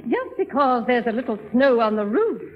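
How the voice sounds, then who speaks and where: A woman's voice: a little high, big pitch swings, normal speed. A woman playing a gushing, lovestruck middle-aged society lady with a fluttery, affected genteel manner in 1930s radio comedy. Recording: dull vintage 1930s radio recording.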